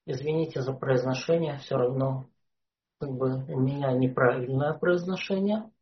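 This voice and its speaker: A lecturer giving an unscripted talk, hesitating often and filling pauses with drawn-out "uh" sounds. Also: mid-range voice. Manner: hesitant, frequent "uh" fillers. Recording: dull lo-fi recording.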